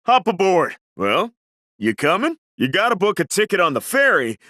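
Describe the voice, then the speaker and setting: An actor playing an eager, easygoing transport driver in a video game, breezy and obliging. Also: male voice, high-pitched, sing-song, moderate pace, bright, forceful tone, no room sound, studio quality, heavily acted. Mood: upbeat, helpful. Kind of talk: video game character dialogue.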